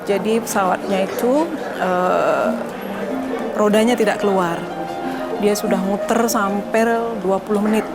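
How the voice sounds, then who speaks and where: A middle-aged woman speaks in a medium pitch, telling of her working life. Her accent is Indonesian, and loud music runs behind the interview. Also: measured pace, long pauses. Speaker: aviation worker being interviewed. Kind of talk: personal interview account.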